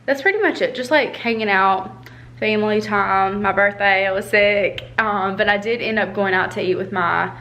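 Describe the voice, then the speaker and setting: A woman's voice: mid-range voice, moderate pace, pushed and bright, highs cut off. A young woman, talking casually in a home vlog, a little stuffy from a cold, rambling and upbeat. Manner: rambling, upbeat, filler-heavy. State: congested from a cold. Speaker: vlogger. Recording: roomy home echo.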